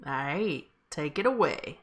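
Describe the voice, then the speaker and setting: Chatty, lower-pitched woman hosting. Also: expressive, unhurried. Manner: informal, chatty. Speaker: host of a table read. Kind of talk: casual hosting.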